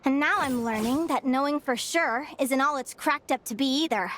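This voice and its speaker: An actress playing a stubborn, determined young advisor in a game cutscene, earnest and reflective. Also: female voice, high voice, moderate pace, slight background noise. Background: loud music.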